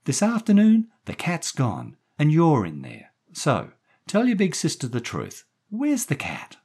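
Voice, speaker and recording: A man's voice: on the high side, wildly swooping pitch, a little slow, a little mellow, breathy edge, pitched above the performer's own voice, exaggerated voice. A man voicing a brassy, coaxing young American woman, a gangster's moll with slangy talk, in a story reading. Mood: coaxing, warning. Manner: slangy, coaxing, calls people honey.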